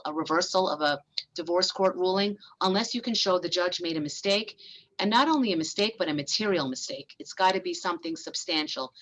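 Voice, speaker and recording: A woman family lawyer, giving a frank, brisk presentation to business owners. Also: fairly low pitch, a bit bright, low-quality recording.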